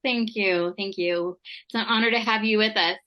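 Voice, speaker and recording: A woman's voice: medium pitch, fairly even intonation, moderate pace, dull audio. A woman hosting a podcast interview, encouraging and affirming toward her guest. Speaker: podcast host.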